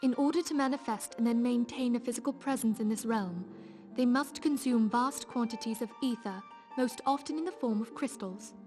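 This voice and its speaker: A woman's voice: a little high, moderate pace, close to an everyday voice. An actress playing a composed, authoritative female leader in a fantasy game, explaining things formally and with assurance. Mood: measured, explanatory. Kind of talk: theatrical fantasy game dialogue. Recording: loud music under the voice.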